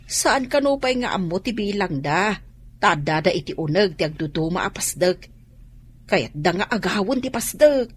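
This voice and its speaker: A woman's voice: medium pitch, expressive, moderate pace, a little hiss or hum, natural voice. A woman playing a knowing village woman in a radio drama, speaking with an Ilocano accent. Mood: matter-of-fact.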